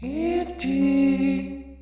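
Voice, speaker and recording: A woman voicing a soft, coaxing ghost girl of about twelve with a light, musical voice, in an audio story. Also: high-pitched, fairly even intonation, very slow, faint music behind. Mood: eerie, coaxing. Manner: soft, luring. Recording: echoing, reverberant voice.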